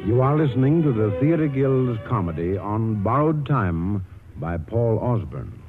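A man's voice: medium pitch, expressive, unhurried, over loud music. A man announcing formally in the style of 1940s radio. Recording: tinny old radio broadcast.

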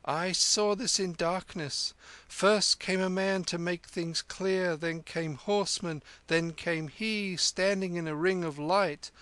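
A man's voice: high voice, steady tone, slow pace, a bit bright, smooth, dry recording, voice pushed up. A man reading aloud, voicing a clever thirteen-year-old street boy in a raised sing-song manner. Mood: rapt sing-song.

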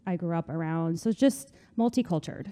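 A young woman from New Jersey, talking casually as a guest in an interview, chatty and quick to laugh. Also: fairly low pitch, warm tone. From New Jersey, USA. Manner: chatty, laughs often, many fillers. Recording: long room reverb.

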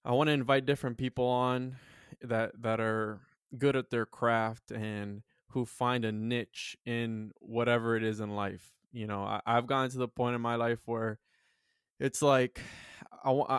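A man in his twenties hosting a podcast, talking earnestly and philosophically with many halting restarts. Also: medium pitch, fairly even intonation, clear, resonant tone, no echo, clean studio sound. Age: 20s. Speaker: podcast host. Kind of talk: casual podcast chat.

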